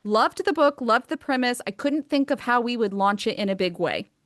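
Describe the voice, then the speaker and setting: A woman's voice: on the high side, lively intonation, moderate pace, fairly bright tone, dry recording, studio quality. Chatty, enthusiastic American woman author. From United States. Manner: enthusiastic, chatty, many fillers like um and like. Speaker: author and guest. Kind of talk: casual interview conversation.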